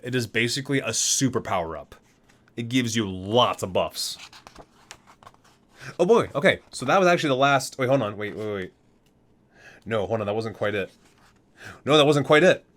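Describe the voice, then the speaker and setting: A man reads aloud in an ASMR style at a medium pitch that swings widely up and down. He is halting at times, repeating and correcting himself, and drops casual asides between the written lines. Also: fairly bright tone. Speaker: video host reading aloud from a game book.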